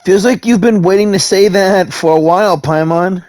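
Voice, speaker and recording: A man plays through a video game and reacts on the fly, reading lines aloud in an animated, joking way. His voice sits fairly high for a man. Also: normal speed, noisy background. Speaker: gaming streamer. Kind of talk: casual reactive playthrough, reading dialogue aloud.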